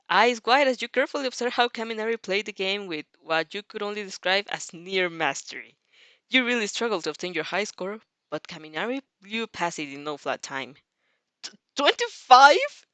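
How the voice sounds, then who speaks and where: A woman with a mid-pitched voice narrates fan fiction in an expressive, informal way. She sometimes repeats a phrase after a stumble and drops in casual reactions. The sound is close and dry. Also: moderate pace, dry recording.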